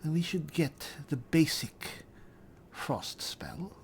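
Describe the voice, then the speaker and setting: A man thinking aloud in character as he plays a roleplaying game, measured and deliberate, often muttering to himself. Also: a little high, creaky, in a whisper. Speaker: gamer narrating a roleplay playthrough.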